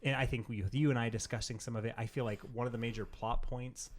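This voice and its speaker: A man's voice: mid-range voice, fairly even intonation, fast, a little hiss or hum. A man co-hosting a podcast in casual, rambling chat, often dropping "like" into his sentences. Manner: rambling, frequently says "like". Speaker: podcast co-host. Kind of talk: casual chat about games and pop culture.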